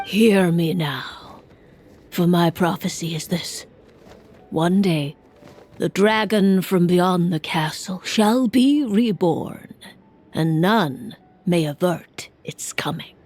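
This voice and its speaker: A woman's voice: fairly low pitch, slow pace, darkish tone. A woman voicing a proud seer witch who delivers a prophecy in a commanding, solemn way. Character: haughty seer witch prophetess, stern and grand.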